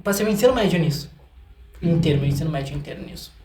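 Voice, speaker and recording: An echoey room carries the voice of a young Brazilian man with a fairly flat, light delivery. He chats casually as an interview guest, informal and a little self-deprecating about himself. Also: a little high, racing. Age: young adult. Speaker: interview guest, singer-songwriter. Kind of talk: casual chat.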